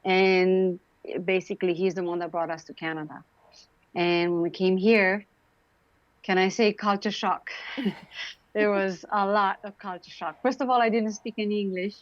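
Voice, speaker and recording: A woman's voice: mid-range voice, steady tone, moderate pace, no room sound. Guest: woman, late 40s, Romanian accent, chatty with fillers, dry dull video-call sound. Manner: warm, chatty, laughs often, many fillers. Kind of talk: casual interview talk.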